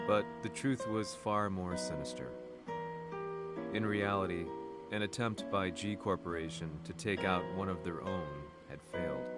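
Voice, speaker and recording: A sombre storyteller narrates a video game story. His voice is deep and low, with a grave, investigative weight. Loud music runs behind every sentence. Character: sombre male narrator recounting a dark corporate conspiracy.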